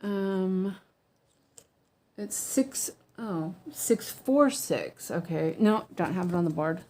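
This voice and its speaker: American woman, unhurried, chatty, rambling, lots of ums, laughs easily. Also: on the low side, warm tone. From United States. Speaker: weaving teacher. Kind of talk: casual stitch-along chat.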